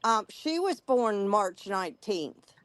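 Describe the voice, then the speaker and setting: Slow-talking woman in her sixties, giggly host. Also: medium pitch, sing-song, no echo. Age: 60s. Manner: chatty storyteller, quick to laugh. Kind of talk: casual chat.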